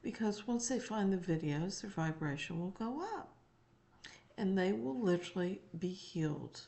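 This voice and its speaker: A woman voicing a folksy, elderly gentleman and former president in an emphatic, deliberate, profane channeled monologue. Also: mid-range voice, expressive, creaky. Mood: insistent, emphatic. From North Carolina, United States. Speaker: spiritual channeler.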